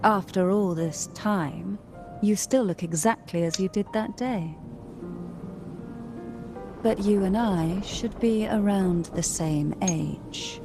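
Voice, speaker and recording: An actress voicing a poised, guarded noblewoman in a video game, with an elegant, courteous and deliberate delivery. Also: mid-range voice. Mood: courteous, intrigued. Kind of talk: scripted video game voice acting. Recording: music under the voice.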